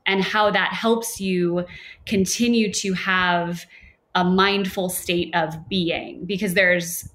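Woman, young adult, meditation teacher, relaxed, chatty, playful asides. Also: on the low side. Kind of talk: casual podcast conversation.

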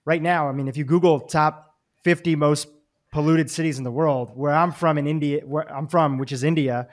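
A man's voice: a little high, fairly even intonation, normal speed. A man from Kenya hosting an interview, asking conversational questions. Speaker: host.

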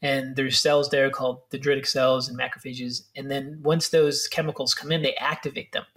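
A man speaking as a guest expert, explaining brain health in a fast, filler-heavy way with restarts. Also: mid-range voice, little pitch movement, a bit bright. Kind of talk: explanatory interview talk.

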